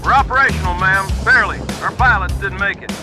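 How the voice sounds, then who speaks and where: Man as a high-voiced, shaken Marine. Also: fast, close to an everyday voice. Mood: strained. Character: shaken, dutiful Marine reporting over combat comms. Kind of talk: video game cutscene acting. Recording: loud music over the voice.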